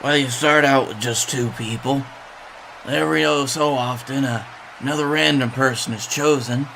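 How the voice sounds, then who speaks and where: A man's voice: mid-range voice, steady tone, normal speed, gravelly, sharp tone, low-quality recording. Guy as a dry wrestling sidekick commentator, serious and matter of fact, bit of noise behind. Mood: earnest, deadpan. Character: deadpan male color commentator, Captain Tibbs. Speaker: color commentator.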